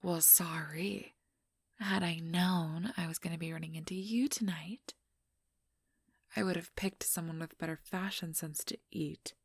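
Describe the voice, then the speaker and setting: A woman voicing an ambitious, teasing vampire in an ASMR roleplay, breathy and whispery, with a sugary, mocking condescension. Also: on the low side, fairly even intonation, measured pace, no room sound, studio quality, heavily acted. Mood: teasing, taunting. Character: ambitious, teasing female vampire, smugly scheming.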